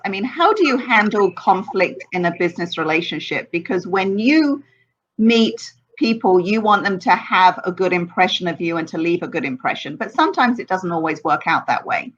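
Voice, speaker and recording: A woman's voice: lowish voice, animated, brisk. A woman interviewer asking questions in a conversational style. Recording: dull, lo-fi sound.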